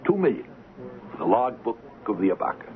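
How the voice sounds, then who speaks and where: A man's voice: mid-range voice, very animated, fairly quick, lightly acted. An actor playing a smug, assured male blackmailer who needles as he bargains, in 1970s radio drama style. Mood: smug, needling. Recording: narrow-band old radio, faint noise.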